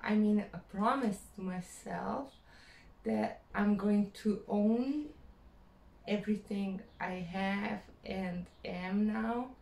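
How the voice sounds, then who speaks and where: A Croatian-accented woman talks to her camera in English, her second language, hesitating and reaching for words with plenty of fillers. She speaks very slowly at an ordinary pitch. The sound is roomy with a clear echo. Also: darkish tone. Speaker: vlogger. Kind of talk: personal vlog monologue.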